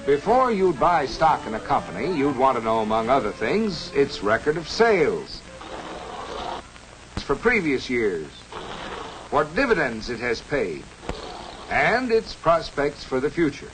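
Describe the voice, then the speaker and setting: An actor playing an earnest, reassuring American stockbroker who explains things in an instructive, salesmanlike way in a 1950s educational cartoon. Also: male voice, mid-range voice, very animated, unhurried, rough recording. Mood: reassuring, explaining. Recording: 1950s film soundtrack with music. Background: music.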